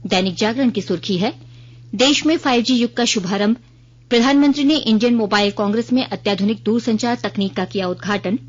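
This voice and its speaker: A woman reads a formal scripted regional news bulletin on the radio as the newsreader. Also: a little high. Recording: dull lo-fi radio broadcast.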